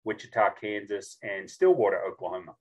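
An Australian man in his fifties telling stories casually as a podcast guest, jokey and animated as he acts out bits of dialogue. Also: lowish voice, fairly quick, poor audio. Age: 50s. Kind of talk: casual storytelling.

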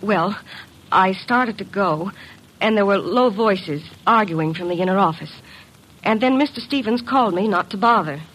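An actress playing an earnest, worried young secretary in a classic radio drama, speaking politely and hesitantly. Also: female voice, medium pitch, moderate pace, a bit bright, husky, poor audio.

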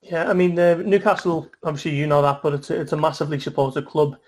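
A man's voice: fairly high pitch, fairly even intonation, fast talker, darkish tone. A man interviewing a former footballer, asking long questions full of restarts. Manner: rambling questions with many restarts.

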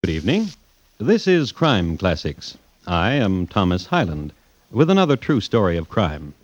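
An actor playing a genteel, dryly morbid crime-story host, narrating in a measured, deadpan, ironic way in old radio drama style. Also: male voice, deep voice. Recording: noisy, muffled old radio recording.

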